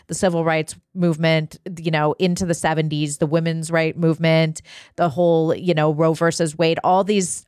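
A female guest host chats casually on a podcast. Her voice is a bit low for a woman, and the sound is dry with no room echo.

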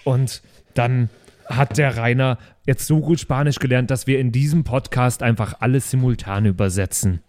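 A man running a tabletop role-play podcast as game master, teasing his players in quick banter. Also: mid-range voice, darkish tone.

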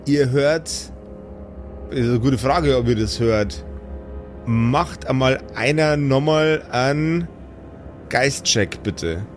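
A man's voice: mid-range voice, takes it slowly, long pauses, soft background music. A man improvising narration as game master of a fantasy tabletop audio play, drawing out his scene descriptions suspensefully.

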